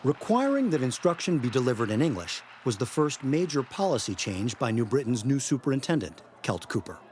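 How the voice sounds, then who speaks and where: A man's voice: medium pitch, big pitch swings, fairly quick, darkish tone, slight background noise. An American man reading scripted news narration as a correspondent.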